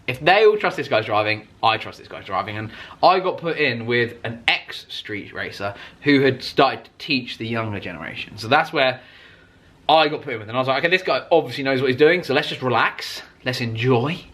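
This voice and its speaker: A man telling a personal story like a vlogger, casually and excitably, with emphatic swearing and acted-out reactions. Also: medium pitch, fairly quick.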